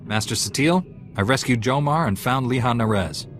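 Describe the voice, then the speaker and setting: An actor playing a calm, dutiful heroic knight in a video game, measured and sincere. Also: male voice, mid-range voice, background noise, lightly acted. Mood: calm, earnest. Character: earnest, dutiful male Jedi Knight, calm hero.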